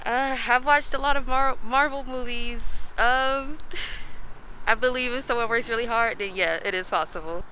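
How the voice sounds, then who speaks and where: A woman's voice: on the high side, normal speed, bright, forceful tone, poor audio. A young woman from Tampa, a college student, answering casually in a street interview. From Tampa, United States. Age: young adult. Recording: faint vehicle noise.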